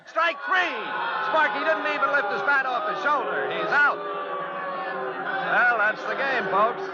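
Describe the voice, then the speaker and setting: A man's voice: very high voice, very animated, slow, exaggerated voice. A man playing a folksy American baseball announcer in 1940s radio style, dramatic and chatty. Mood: excited. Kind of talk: 1940s radio play-by-play commentary. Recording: dull old radio recording, loud crowd noise.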